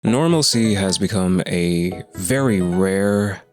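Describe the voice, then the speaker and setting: Low-voiced guy kinda croaky from a cold, laid-back rambly lore chat, music going behind him. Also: slow, dry recording. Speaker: host of a lore video. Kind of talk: casual lore talk.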